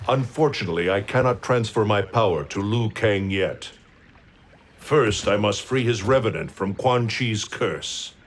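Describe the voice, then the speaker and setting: An actor playing a stern, quick-tempered thunder god in a video game, speaking in a formal, commanding way. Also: male voice, lowish voice, a little hiss or hum, natural voice. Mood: solemn, resolute. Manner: commanding, formal, solemn speech. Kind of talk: dramatic video game cutscene acting.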